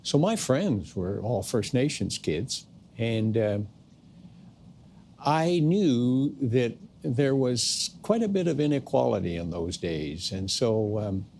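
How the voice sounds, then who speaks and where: A man's voice: mid-range voice, animated, takes it slowly, vocal fry, darkish tone, a little hiss or hum. An older man relaxedly telling stories from his childhood in a documentary interview, with casual asides. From Canada, British Columbia coast.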